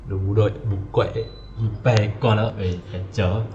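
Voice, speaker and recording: A man's voice: lowish voice, fairly even intonation, normal speed. Man muttering in a roomy space. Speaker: gaming streamer. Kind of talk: casual game livestream chat. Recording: roomy, dull sound with faint noise.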